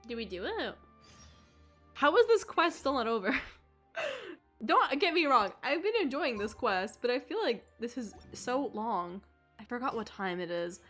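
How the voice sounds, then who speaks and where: A woman streams a game in a bright, forceful voice that moves around a lot. She comments casually and playfully, with excitable reactions. Music plays behind her. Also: on the high side, unhurried. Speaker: streamer playing a story game.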